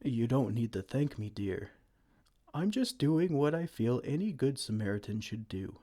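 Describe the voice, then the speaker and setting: A man voicing a kind, gentle male giant who cares for a tiny human, speaking softly and soothingly in an ASMR roleplay. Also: mid-range voice, expressive, normal speed, natural voice. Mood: tender. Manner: soft-spoken, soothing, tender pet names.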